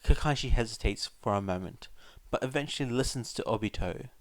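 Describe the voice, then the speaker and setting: A man's voice: mid-range voice, a little fast, no echo. A man working as a fan fiction reader, either narrating a story or chatting casually to listeners. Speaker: podfic reader. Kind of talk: scripted story narration, casual chat afterwards.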